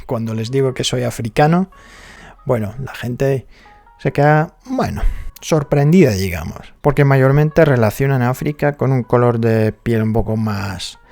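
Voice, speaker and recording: A man's voice: mid-range voice, darkish tone. A man from Morocco with a Moroccan accent, chatting casually in a vlog, peppering his talk with fillers and tag questions. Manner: conversational, many fillers like "digamos" and "¿no?". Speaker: vlogger.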